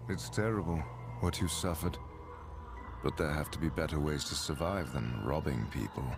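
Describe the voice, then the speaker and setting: A man's voice: very deep voice, normal speed. A man playing an earnest, compassionate adventurer hero in a video game, speaking soberly. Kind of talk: video game character acting. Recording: music behind the voice.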